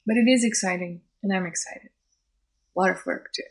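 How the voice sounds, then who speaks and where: A woman's voice: mid-range voice, fairly quick. A woman whose first language is Portuguese, a social scientist and event organizer, speaking thoughtfully in an interview and often restarting phrases as she searches for words. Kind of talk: interview conversation.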